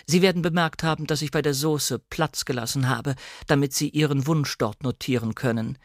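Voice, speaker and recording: Male reader as female housekeeper, formal, servile politeness, cool, raised pitch, dry sound. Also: fairly even intonation, normal speed, no echo, natural voice. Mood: cool, deferential. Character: formal, coolly deferential female housekeeper of a manor.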